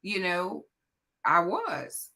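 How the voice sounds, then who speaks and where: Raspy, hoarse woman host. Low, loud and chatty, speaking straight to the viewers. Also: huge pitch swings, drawn-out words. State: hoarse, voice cracking. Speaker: host of a morning motivational livestream. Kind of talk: casual motivational chat to viewers.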